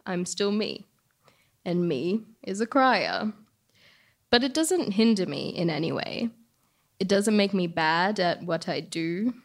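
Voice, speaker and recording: An Australian woman reading scripted personal stories and radio announcements in an emphatic, conversational way. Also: medium pitch. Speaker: storyteller and radio show host.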